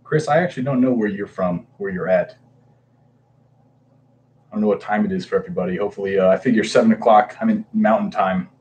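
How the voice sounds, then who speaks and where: A man's voice: on the low side, quick pace, a little mellow, lo-fi sound, a little hiss or hum. A man in his thirties casually chatting on a livestream, greeting viewers by name with lots of hesitant fillers. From Hawaii. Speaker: comic book livestreamer.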